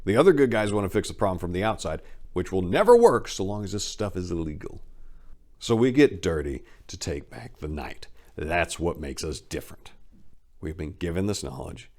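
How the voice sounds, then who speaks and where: Man playing an impassioned, idealistic younger crime fighter, low-voiced. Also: expressive, moderate pace, a little mellow. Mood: resolute. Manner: emphatic, speechifying.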